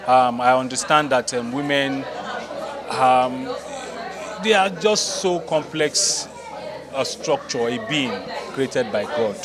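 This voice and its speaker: A man explaining a production in a measured, hesitant interview manner. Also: medium pitch. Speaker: stage play creator interviewee. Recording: busy crowd behind voice.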